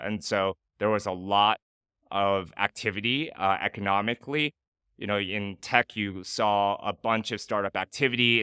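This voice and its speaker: A man in his thirties, speaking as a podcast guest and working through his story with frequent pauses and "uh" fillers. His lowish voice is bright and exceptionally clean. The recording is dry. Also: clean tone, no echo. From Michigan, United States. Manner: thoughtful, many "uh" fillers. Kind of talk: casual interview conversation.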